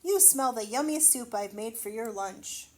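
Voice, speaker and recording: A woman reader plays a bossy wife in a fairy tale. She is scolding and emphatic, with a higher voice that brushes others off. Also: moderate pace, darkish tone, close to an everyday voice. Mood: dismissive. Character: giant's wife, bossy and dismissive storybook woman.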